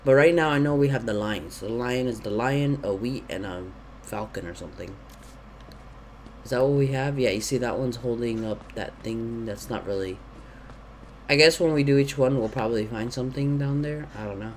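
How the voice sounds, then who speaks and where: A man's voice: mid-range voice, moderate pace. A young man talks through his gameplay into a headset, rambling and thinking aloud with plenty of ums. His throat is sore and he has the sniffles. A faint hiss of noise sits under his voice. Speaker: gaming YouTuber. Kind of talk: casual let's-play commentary. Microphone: gaming headset.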